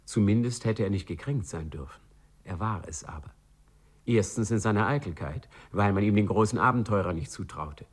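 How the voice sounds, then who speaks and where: Radio play narrator, man: deep and slightly rough, literary, dryly wry, measured delivery.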